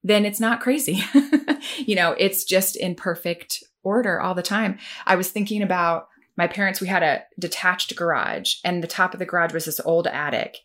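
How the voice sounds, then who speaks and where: A woman's voice: on the low side, normal speed, a bit bright. A woman hosting a casual chat, talking with enthusiasm about her childhood and about destiny. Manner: enthusiastic, reflective talker. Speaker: host.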